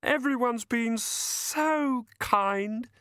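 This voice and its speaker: A man's voice: high-pitched, sing-song, a little slow, a bit bright, smooth, no room sound, clean studio sound, voice pushed up, heavily acted. A man voicing a timid, tearful female fairy in an audiobook, meekly polite, hesitant and self-deprecating. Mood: grateful, meek.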